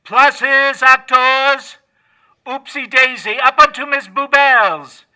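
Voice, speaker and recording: An actor playing a fussy, excitable French director with an exaggerated French accent in a comedic audio play. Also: male voice, very high voice, slow, clean tone, full character voice, low-quality recording. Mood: excited, bossy. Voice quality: theatrical, fussy delivery. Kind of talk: comedic audio theatre acting.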